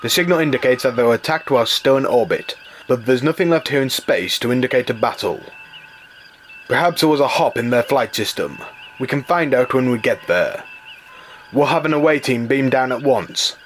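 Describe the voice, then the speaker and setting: A man's voice: mid-range voice, slight background noise, close to an everyday voice. Composed starship captain, played by a man. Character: composed, grave male rabbit starship captain. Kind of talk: scripted space-adventure audio drama.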